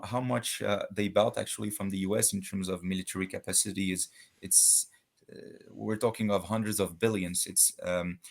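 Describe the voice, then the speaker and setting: A man from Switzerland talking as a guest in a casual debate conversation, halting and searching for words with many restarts. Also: lowish voice, creaky.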